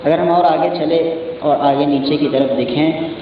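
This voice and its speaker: Male tutorial host, casual step-by-step delivery, slightly high voice, muffled recording with clear background noise. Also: fairly even intonation, unhurried. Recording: echoey, muffled, distant mic, noisy background.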